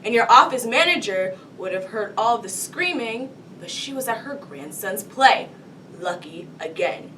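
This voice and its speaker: A woman's voice: animated, a little slow, fairly bright tone. A woman performing a cocky police detective who lays out a crime to a suspect in a comic TV monologue, taunting and dramatic. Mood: taunting, insistent. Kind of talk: comedy TV monologue performed solo. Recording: distant mic, rumbling background noise.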